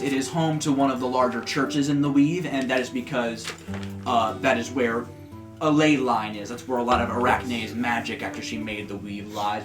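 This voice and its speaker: A man running a tabletop game as game master, narrating in a relaxed, rambling way. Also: medium pitch. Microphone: desk USB microphone. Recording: roomy with background music.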